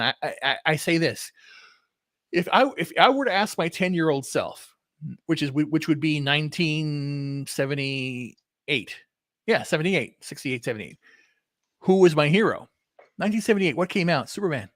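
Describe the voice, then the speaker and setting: A man in his fifties from Texas, hosting a casual, opinionated talk with passion and many halting restarts. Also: a little high, animated, a bit bright, no room sound, studio quality. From Texas, USA. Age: 50s. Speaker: podcast host.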